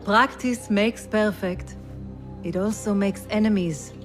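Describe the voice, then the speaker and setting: An actress as a sensible woman confidante with a dry, teasing manner. She speaks slowly at a middling pitch, over clear music in a game scene. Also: lightly acted. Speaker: game character. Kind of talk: video game acting.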